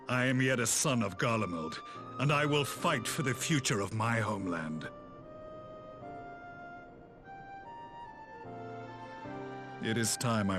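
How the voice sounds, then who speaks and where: Guy acting a proud brooding ex-commander for a game, really low voice, solemn n formal, music behind. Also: lightly acted. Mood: resolute. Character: grave, proud, repentant middle-aged male former imperial commander. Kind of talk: video game cutscene acting.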